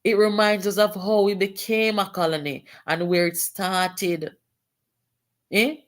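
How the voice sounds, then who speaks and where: A woman's voice: medium pitch, fairly even intonation, measured pace. A Jamaican woman talking about politics to her viewers in a mid-range voice. She slips between standard English and Patois and rises into exclamations and pointed questions. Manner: switches to Patois, exclamatory, rising indignation. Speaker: political commentary vlogger. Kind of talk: reading aloud and commenting on a political letter.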